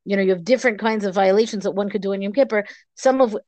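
A woman's voice: mid-range voice, quick pace, a little mellow, dry recording, highs cut off. A woman co-teaching a study session, reflecting aloud with hesitant restarts. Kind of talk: study discussion.

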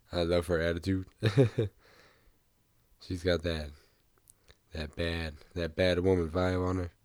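A young man hosting a casual podcast, sick, who rambles easily and keeps clearing his throat. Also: sits low, dry recording, studio quality. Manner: relaxed, rambling, with frequent throat clearing and small laughs. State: sick, voice a bit off. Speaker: podcast host. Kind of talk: casual chat about music news.

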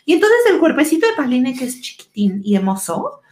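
A woman's voice: sits high, sing-song, a little fast, a little mellow, slight background noise. A woman with a Mexican accent co-hosting a podcast, telling stories casually in slangy, colloquial speech. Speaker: podcast co-host. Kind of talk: casual chat and storytelling.

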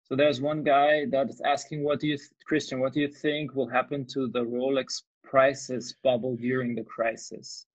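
A man's voice: mid-range voice, level tone, normal speed, hardly any pauses, highs cut off. A man from Austria hosting a podcast, chatting in an easygoing, agreeable way. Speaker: podcast host. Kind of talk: casual chat.

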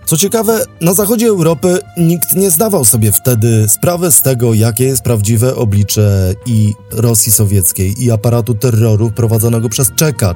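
Guy narrating a history documentary, soft dark tone, faint music behind. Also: mid-range voice. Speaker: narrator and host.

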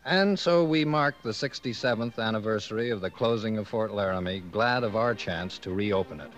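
A man narrating a 1950s radio western in a solemn, measured way. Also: on the low side, lo-fi sound, close to an everyday voice. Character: solemn male narrator of an Old West cavalry drama. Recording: dry, band-limited 1950s radio recording. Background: music.

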